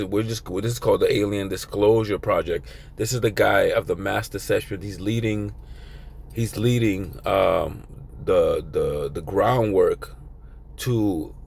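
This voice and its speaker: A man with a raspy, hoarse voice giving an informal, rambling video sermon as a religious teacher. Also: sits low, darkish tone. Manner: rambling, filler-heavy, conversational.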